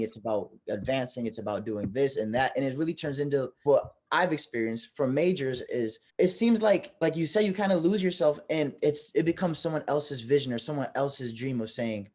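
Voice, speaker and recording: A man with a medium-pitched voice hosts a podcast interview. He talks in a casual, conversational way as he comments and puts questions to his guest. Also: darkish tone.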